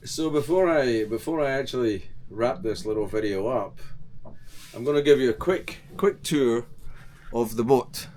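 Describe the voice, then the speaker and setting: A man's voice: mid-range voice, normal speed, a little hiss or hum. An older man from Scotland with a Scottish accent, talking plainly and casually to camera about his life aboard his sailboat. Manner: plain-spoken, relaxed, rambling. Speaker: channel host. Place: on board his sailboat, indoors in the cabin.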